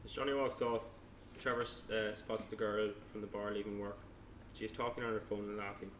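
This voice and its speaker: A man's voice: lowish voice, level tone, a little fast, a bit bright, slight background noise. A man narrating stage directions at a table read, plainly, with small stumbles as he reads.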